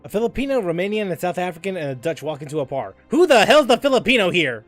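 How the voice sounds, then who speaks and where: A man streaming a game with casual, joking commentary. His voice is a bit high and very lively in pitch, with game music behind him. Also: quick pace. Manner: joking, reacting.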